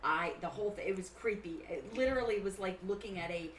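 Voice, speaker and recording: A woman guesting on a casual podcast chat, an animated storyteller who slips into quoted voices. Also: medium pitch, fast talker, recorded from a distance, slight background noise. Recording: echoey room, voice off-mic.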